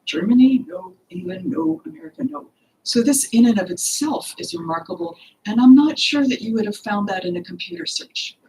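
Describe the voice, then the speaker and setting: An older woman speaks into a podium microphone in a library hall, her voice slightly gravelly. She talks earnestly about her work, stopping often to search for words. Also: fairly high pitch. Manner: earnest and expressive, with frequent pauses and fillers. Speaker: author presenting her book. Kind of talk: lecture talk at a book launch. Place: library hall with bookshelves, indoors.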